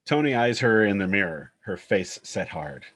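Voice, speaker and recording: A man's voice: fairly low pitch, sing-song, normal speed, fairly bright tone. A man giving scripted narration, reading out the scene directions of a screenplay at a table read.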